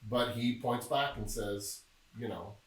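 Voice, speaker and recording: A middle-aged man from Memphis, Tennessee, talking casually as a podcast guest and telling stories with a joking, relaxed manner. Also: mid-range voice, unhurried. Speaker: podcast guest, film producer. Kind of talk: casual interview chat. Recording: echoey room, distant mic.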